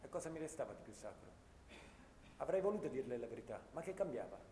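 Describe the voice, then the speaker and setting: A man's voice: very animated, fast talker, warm tone. A man playing a disillusioned, bookish young soldier, speaking earnestly and in literary phrases in a radio drama. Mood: bitter, sorrowful. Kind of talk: dramatic radio theatre monologue. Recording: echoey reverberant room, low rumble.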